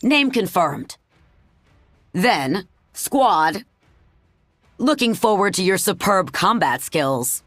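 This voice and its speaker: A woman's voice: mid-range voice, big pitch swings, slow, long pauses, fairly bright tone, dry recording, faint music behind, full character voice. A woman voicing a strict, no-nonsense combat coach in a video game, with a stern, clipped, commanding manner. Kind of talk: English video game voice acting.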